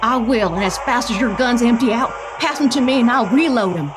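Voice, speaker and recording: An actress playing a brave, earnest rancher's wife in a western radio drama. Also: female voice, a little high, fairly quick, natural voice. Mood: brave, anxious. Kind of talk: old-west radio drama acting.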